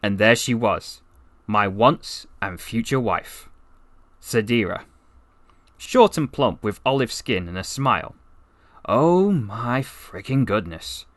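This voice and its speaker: Male actor as wry, lovestruck half-alien storyteller: bright, very animated, gushing asides, comic audiobook read, close dry sound. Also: fairly low pitch, slow, no room sound. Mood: chatty, wry, then smitten, enthusiastic. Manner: conversational, rambling asides, gushing. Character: chatty, besotted, wry half-alien man from Dorset. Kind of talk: first-person comic story narration, voice acting practice.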